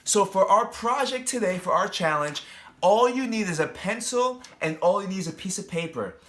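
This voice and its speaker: A man's voice: high voice, lively intonation, normal speed, a bit bright. A man who teaches, reading a picture book aloud to young children, with chatty asides and questions put straight to them. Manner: addresses young children directly, asking questions.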